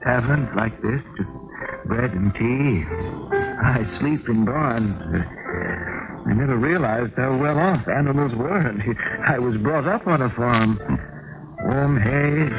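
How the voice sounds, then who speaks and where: A man's voice: mid-range voice, slow pace, lightly acted. Naive young Russian farmhand, played by a man, earnest and courteous, radio drama, muffled archive sound. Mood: friendly, tired. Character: naive, polite young Russian farm lad. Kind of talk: old-time radio drama acting. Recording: dull 1970s radio recording with music.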